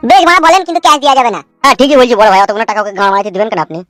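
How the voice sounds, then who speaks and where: A man's voice: extremely high pitch, lively intonation, rapid-fire, a bit bright, dry recording, studio quality, soft background music. A man voicing an eager, fawning henchman of a village politician in a Bengali cartoon, with a rural West Bengal accent. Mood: eager, advising. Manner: eager, advising, obsequious. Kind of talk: comic cartoon dialogue.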